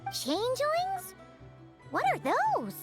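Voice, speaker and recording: A woman voicing a tiny, curious, childlike fairy companion in a video game, with a squeaky voice and a wide-eyed, inquisitive manner. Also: huge pitch swings, slow, exaggerated voice. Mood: puzzled, curious. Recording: music behind.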